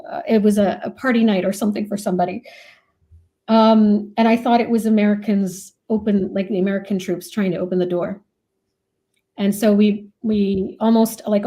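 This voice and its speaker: A woman's voice: mid-range voice, dark tone. A woman from Iraq, an author being interviewed, giving thoughtful, reflective answers in a conversational way. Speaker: author and professor, interview guest.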